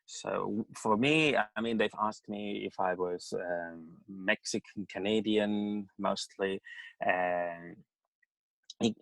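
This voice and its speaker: A man's voice: fairly low pitch, slow, vocal fry, fairly bright tone, dry recording, poor audio. A man from Spain speaking English with a Spanish accent, chatting as a podcast guest. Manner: chatty with frequent laughter. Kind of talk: casual podcast conversation.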